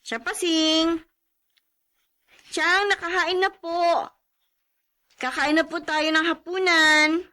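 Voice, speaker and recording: Bright, high-voiced young wife. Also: female voice, flat delivery, slow pace, long pauses, full character voice. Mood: coaxing. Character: patient, weary young wife living with touchy aunt. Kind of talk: radio drama acting.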